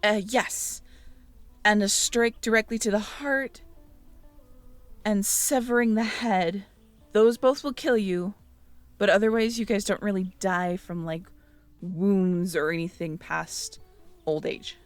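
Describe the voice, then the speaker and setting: A woman's voice: mid-range voice, fairly even intonation, slow, dry recording, soft background music. A woman in an intimate audio roleplay, musing aloud as she reads through notes and checks back with the listener.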